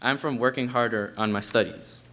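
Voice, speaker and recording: A man's voice: medium pitch, fairly even intonation, brisk, pushed and bright. A young man from Queens, a college student reciting a personal spoken word poem. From Astoria, Queens, New York. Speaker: student performer.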